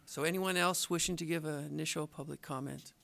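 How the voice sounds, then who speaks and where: A man chairs a council meeting and moves things along briskly. His voice sits a little high for a man and carries a gravelly fry. He sounds procedural, calling speakers and keeping order. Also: steady tone, sharp tone, slight background noise. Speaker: presiding official chairing the meeting.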